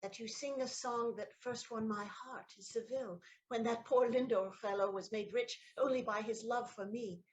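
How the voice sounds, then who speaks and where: An actress playing a poised, loving noblewoman in an audio play, speaking in a measured, courtly way. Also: fairly high pitch, steady tone, lightly acted, rough recording. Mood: gracious.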